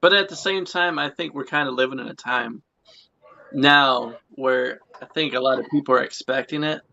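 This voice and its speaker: Young male host, late twenties, gravelly and bright-toned, rambling casual chat on muffled lo-fi audio. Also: medium pitch, moderate pace. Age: late 20s. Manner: rambling, repeats phrases. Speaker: podcast host.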